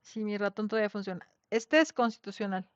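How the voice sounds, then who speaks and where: A woman's voice: mid-range voice, fairly even intonation, quick pace, no echo. Female Mexican lecturer, conversational, checks in with students often, dry and dull lo-fi online sound. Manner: conversational, frequent tag questions. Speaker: teacher leading an online class. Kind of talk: informal lecture with questions to students. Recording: dull lo-fi online class audio.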